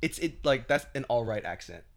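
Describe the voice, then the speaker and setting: Quick, slangy man co-host, animated, crowd chatter behind. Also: mid-range voice. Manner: laid-back, slangy. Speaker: podcast co-host. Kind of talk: casual chat.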